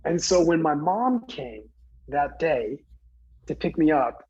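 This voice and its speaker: Husky-voiced man telling a personal story. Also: on the high side, very animated, darkish tone, muffled recording. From New Jersey, US. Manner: earnest, halting, many fillers and restarts. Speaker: guest speaker.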